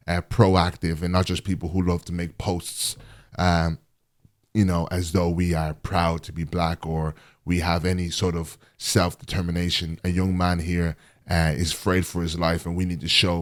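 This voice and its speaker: Deep-voiced earnest male host. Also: no echo, studio quality. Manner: earnest, measured, with frequent fillers. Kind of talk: call-in interview show.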